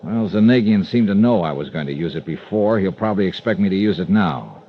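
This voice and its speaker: An actor playing a determined, wry freelance insurance investigator in a vintage radio drama, with an American accent and clipped, punchy delivery. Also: male voice, deep voice, animated, brisk, breathy edge. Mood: resolute, terse. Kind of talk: 1950s radio detective drama, narration and dialogue. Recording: dull vintage radio recording with loud music.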